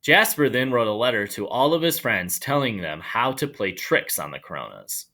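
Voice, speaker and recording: A man reading a children's story aloud in an animated storytelling style. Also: medium pitch, normal speed. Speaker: storybook reader.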